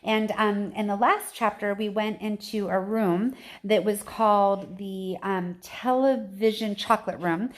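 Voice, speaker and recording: A woman's voice: medium pitch, unhurried. A woman reading a children's book aloud like a teacher, expressively, with casual chatty asides.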